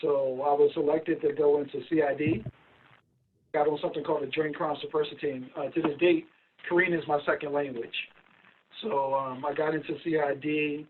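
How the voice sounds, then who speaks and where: A middle-aged man from Philadelphia, a guest recounting his career in a measured, steady way with frequent ums. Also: a little high, slight background noise. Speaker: guest, investigation company owner. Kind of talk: casual interview over a call. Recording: tinny phone-like line, distant mic.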